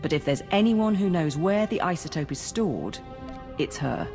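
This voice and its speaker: Game role: efficient female logistics officer, low-pitched, clipped briefing manner, heard in a big reverberant space. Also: lively intonation, moderate pace, warm tone. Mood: brisk, businesslike. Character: brisk, efficient female military logistics and operations coordinator. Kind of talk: video game voice acting. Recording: reverberant hall, music behind.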